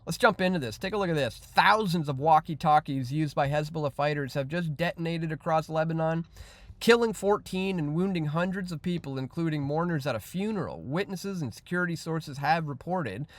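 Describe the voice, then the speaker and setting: A man giving off-the-cuff news commentary as an independent host, reading out headlines in a halting but emphatic way. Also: a little high, dry recording.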